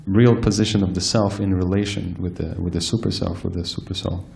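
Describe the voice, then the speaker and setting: A man giving a scripture lecture, soft-spoken and hesitant, with frequent fillers and restarts. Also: deep voice, steady tone, brisk, vocal fry. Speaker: speaker giving a scripture class. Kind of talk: sermon-style lecture. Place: temple hall with live audience.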